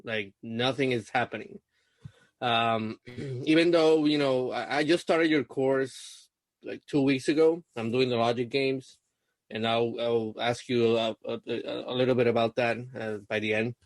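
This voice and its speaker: Student on a video call: young Puerto Rican man, Spanish native, unsure, slow, lots of fillers. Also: mid-range voice, fairly even intonation, no echo. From Puerto Rico. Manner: hesitant, many fillers and restarts. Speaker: LSAT student being coached. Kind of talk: casual coaching call.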